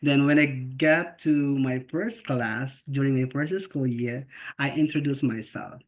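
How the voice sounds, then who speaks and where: A man's voice: mid-range voice, a little slow. A man from the Philippines with a Filipino accent, a special education teacher giving an earnest, informal talk on a video call, often checking in with "okay?" and "right?". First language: not English. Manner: earnest, repeats and restarts words, asks "okay?" and "right?" often. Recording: slightly dull lo-fi video call audio.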